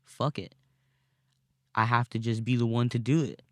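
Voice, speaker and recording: A young man hosting a casual solo podcast from inside his car, recorded on a phone, rambling and swearing freely in a thin voice without much bass. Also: fairly even intonation, moderate pace, no room sound, clean studio sound. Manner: rambling, profane, laid-back with frequent fillers. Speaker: podcast host.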